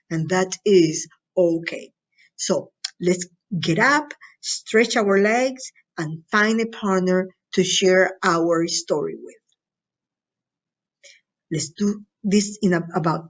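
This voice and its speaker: Female teacher for a recorded school lesson, unhurried delivery, medium pitch, encouraging and instructional, checks in with listeners. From Latin America. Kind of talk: recorded lesson addressed to students.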